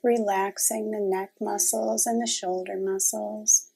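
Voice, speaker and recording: Very slow-paced woman guiding meditation. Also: mid-range voice, vocal fry, husky, poor audio. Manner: calm, unhurried, gentle, long pauses. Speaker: guided meditation teacher. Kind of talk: guided meditation narration.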